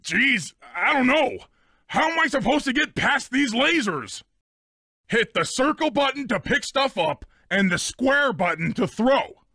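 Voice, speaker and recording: A man's voice: extremely high pitch, very animated, unhurried, pushed and bright, dry recording, studio quality, full character voice. A man playing a big, dim but loyal cartoon hippo strongman in a video game, boastful and childlike, with bombastic delivery. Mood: eager. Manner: bombastic, speaks of himself in third person.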